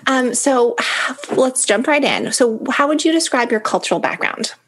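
A woman's voice: fairly high pitch, animated, moderate pace, a bit bright. A woman interviewing a guest, asking questions in a friendly, encouraging way.

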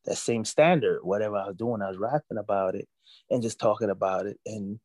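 A man in his forties from Jamaica, raised in Brooklyn, chatting as an interview guest, relaxed and full of "you know.". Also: on the low side, fairly quick, dry recording. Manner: relaxed, repeats himself, many "you know"s. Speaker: guest musician. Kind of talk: casual interview talk.

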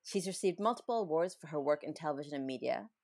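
A woman from East Africa, co-hosting a podcast, chatty and quick to laugh. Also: deep voice, animated, a little mellow. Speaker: co-host. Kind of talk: podcast talk.

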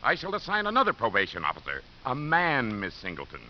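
A man's voice: mid-range voice, wildly swooping pitch, fairly quick. A man playing a stern, authoritative judge in a 1950s radio drama, brusque and formal. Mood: stern, official. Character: stern, authoritative male juvenile court judge. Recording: hissy muffled 1950s radio recording.

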